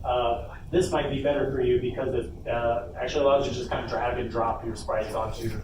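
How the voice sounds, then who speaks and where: A man's voice: mid-range voice, little pitch movement, brisk, far from the mic. A man giving an informal workshop presentation, explaining things step by step with some hesitation. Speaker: workshop panelist. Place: convention panel room. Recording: echoey room from afar, noisy.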